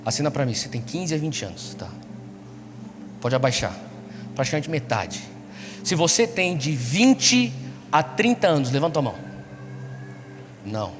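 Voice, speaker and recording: A man's voice: fairly high pitch, sing-song, normal speed, pauses often, background noise. A Brazilian man preaching to a young congregation, exhorting them with repeated rhetorical questions. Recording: echoey large hall, music behind. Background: music.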